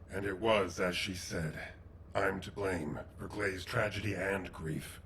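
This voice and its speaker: Game casting: a remorseful ex-soldier professor. Very low voice, grave and measured, faint ambient noise behind. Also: male voice, a bit bright. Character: remorseful veteran soldier and military professor, solemn older man. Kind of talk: video game voice acting.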